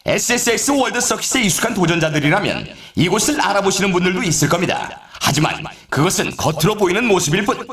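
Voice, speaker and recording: An actor playing an excitable, theatrical male announcer at an extreme snowboarding event in a video game, delivering scripted hype with showy exclamations. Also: high voice, fast talker, few pauses, reverberant. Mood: excited, celebratory.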